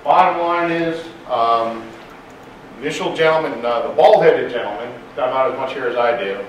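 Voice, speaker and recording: A man giving a technical conference presentation in a large room, speaking loudly and plainly. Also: mid-range voice, moderate pace, a little hiss or hum. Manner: loud, plain-spoken, apologetic about slides. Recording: very echoey room, distant mic.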